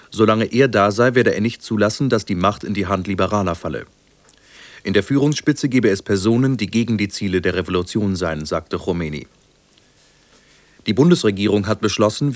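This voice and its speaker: Low-voiced German radio newsreader, man. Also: no room sound. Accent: standard German of Germany. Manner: measured, formal, matter-of-fact. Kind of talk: formal scripted news bulletin.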